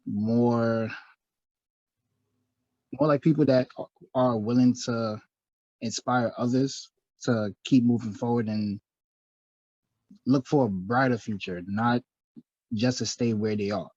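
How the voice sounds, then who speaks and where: A man answering interview questions about his clothing line in a soft-spoken, halting way, laughing between thoughts. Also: medium pitch, fairly even intonation, no echo. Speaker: interview guest, clothing designer. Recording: dry, dull lo-fi audio.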